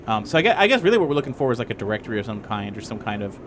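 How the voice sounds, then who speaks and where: A man's voice: lowish voice, big pitch swings, racing, background noise. A man playing a tabletop roleplaying game, chatting casually and tossing in acted-out asides. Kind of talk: casual improvised game chat. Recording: music clearly audible behind voice. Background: background music.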